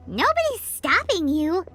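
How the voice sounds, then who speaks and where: A woman's voice: very high-pitched, noisy background, full character voice. A woman voicing a tiny childlike fairy companion in a video game, cheeky, chirpy and blunt.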